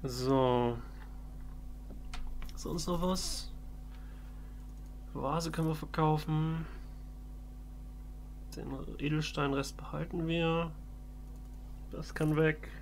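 A man's voice: fairly high pitch, steady tone, very slow, pauses often, a little hiss or hum. A man doing casual, in-character let's play commentary, musing and thinking aloud. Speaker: let's player.

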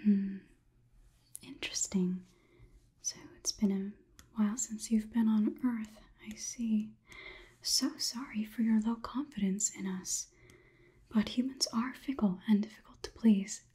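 Casting: woman playing an officious female AI overlord for ASMR roleplay; very breathy, soft whisper, formal and condescending. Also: mid-range voice, fairly even intonation. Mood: coolly condescending. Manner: measured, formal, softly officious. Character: polite, condescending female robot overlord examining a human.

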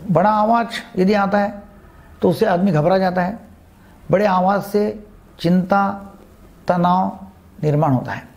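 A man's voice: high voice, gravelly, a little mellow. A man explains health matters to camera as a doctor, emphatically repeating key phrases and mixing in English terms. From India. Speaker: psychiatrist giving health advice. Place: indoors, seated before a green screen. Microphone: lapel mic.

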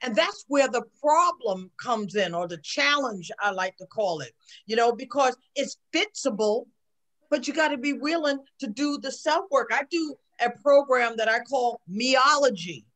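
A woman in her sixties with a high voice, talking over a video call from home. She speaks emphatically, like a sermon, and keeps checking in with "okay?". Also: animated, measured pace, a bit bright, rough recording. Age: 60s. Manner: preachy, emphatic, repeats "okay?". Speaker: guest. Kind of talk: casual chat on a video call. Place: home room.

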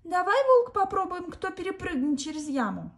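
A loving mother goat from a children's tale, voiced by a woman. She draws out her words in a slow, lilting chant, pitched a little above the storyteller's usual high voice. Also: sing-song, exaggerated voice. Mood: challenging. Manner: sing-song chanting, drawn-out. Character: caring mother goat, tender then grieving.

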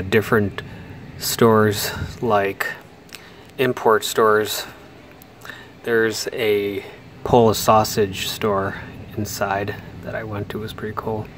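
Low, flat-voiced man touring a mall. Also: a little hiss or hum. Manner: relaxed, unhurried commentary with pauses. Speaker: mall explorer vlogger. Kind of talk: walking tour narration. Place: large indoor mall, mostly empty.

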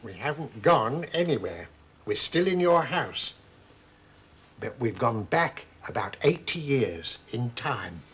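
A man's voice: medium pitch, sing-song, slow, a bit bright, slightly rough, full character voice. An older actor playing a kindly grandfather who patiently explains old times to children, in a children's TV drama. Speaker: grandfather who does magic. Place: kitchen set, indoors.

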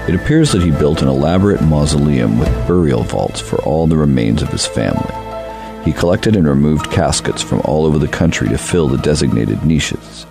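A man voicing a formal, measured official reading documents aloud in a radio play. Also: rumbling low, animated, vocal fry, music in the background, heavily acted.

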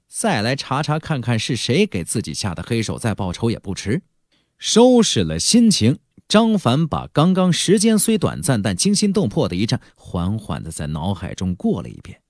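A male audiobook narrator tells a story in a colloquial, dramatic way. His pitch swings very widely from phrase to phrase. The recording is close and dry, with faint music behind him. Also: a little mellow, no echo. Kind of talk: expressive scripted storytelling narration.

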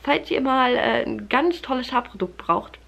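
A woman's voice: sits high, expressive, moderate pace, creaky, a bit bright. A woman in her thirties with a stuffy nose from a cold, chatting casually to the camera in her living room, full of fillers and friendly asides to viewers. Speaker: family vlogger. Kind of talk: casual vlog chat to camera. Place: living room at home, indoors. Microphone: camera held close at arm's length.

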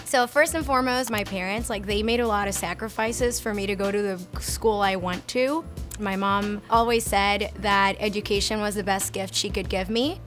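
A woman in her thirties from Puerto Rico, an engineer answering interview questions with clear, earnest explanations, recorded on an earbud mic in a lab storeroom. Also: mid-range voice, normal speed, a bit bright. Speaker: research and development engineer. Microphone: earbud headset mic. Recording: music bed underneath.

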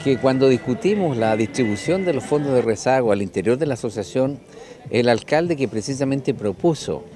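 A Chilean man speaking emphatically in an outdoor interview into a handheld mic. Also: medium pitch. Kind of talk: on-camera interview. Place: outdoor fair. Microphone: handheld news mic.

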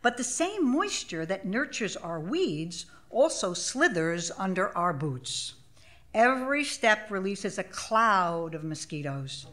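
Older woman reading, lively swooping pitch. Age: 70s. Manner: measured, expressive, wry delivery. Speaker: author reading own essay. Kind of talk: literary essay reading for a live audience. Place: small theater stage, live event. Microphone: stage microphone.

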